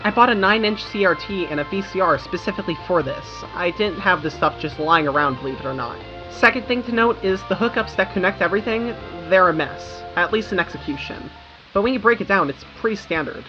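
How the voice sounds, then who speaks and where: A woman's voice: on the low side, expressive, brisk. A young American woman narrates in an informal, wry way, like a creator talking viewers through a video essay. Her audio is slightly dull, with music playing behind her. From United States. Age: young adult. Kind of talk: scripted video essay narration with casual asides. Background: background music.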